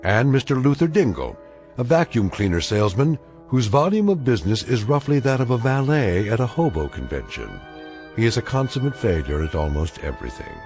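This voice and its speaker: Radio play role: ominous, wry storyteller played by a man, expressive and sardonic, over prominent music. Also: mid-range voice, natural voice. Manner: measured, sardonic. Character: wry, ominous male anthology narrator. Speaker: narrator. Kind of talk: scripted narration, radio drama.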